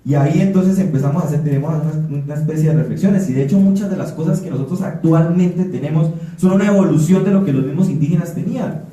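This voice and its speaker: A man's voice: fairly high pitch, fast talker, dark tone. A man from Colombia giving an earnest talk at a forum, often restarting and repeating words. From Colombia (Putumayo). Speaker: panel speaker. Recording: echoey room, speaker far from mic.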